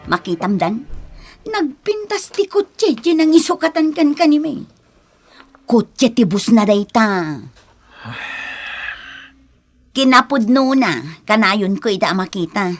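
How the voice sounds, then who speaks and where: A gossiping middle-aged auntie with a highish voice. She is insistent, scornful and insinuating, speaking in Ilocano radio drama style with a Filipino accent.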